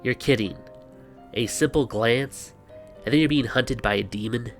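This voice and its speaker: Male host, medium pitch, scripted banter, sarcastic, wry, exasperated, faint music underneath. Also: moderate pace. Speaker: video host. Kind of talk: scripted explainer narration with banter. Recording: music behind the voice. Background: background music.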